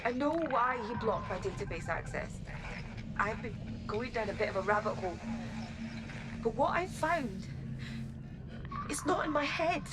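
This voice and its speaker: An actress playing an earnest, confiding young crew member, recording a message in a sci-fi game. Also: female voice, on the high side, lively intonation, a little slow, long pauses, background noise. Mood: earnest, uneasy. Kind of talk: intimate sci-fi game monologue.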